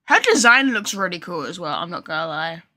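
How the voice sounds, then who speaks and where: A woman's voice: fairly low pitch, very animated, brisk, sharp tone. A woman chatting casually with viewers on a game livestream, thinking aloud in an informal, chatty way. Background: game music.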